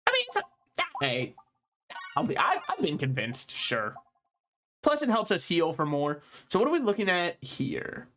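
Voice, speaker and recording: A man's voice: high-pitched, huge pitch swings, moderate pace, bright, forceful tone, soft background music. A young man from the United States streaming a video game, rambling and joking at his own expense as he plays. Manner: joking, rambling, self-mocking. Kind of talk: casual gameplay commentary.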